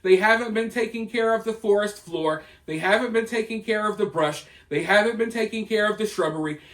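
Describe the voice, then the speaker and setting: High-pitched American man giving emphatic commentary. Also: a little fast, a bit bright. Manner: emphatic, conversational, rhetorical. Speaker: online news commentator. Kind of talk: opinion commentary to viewers.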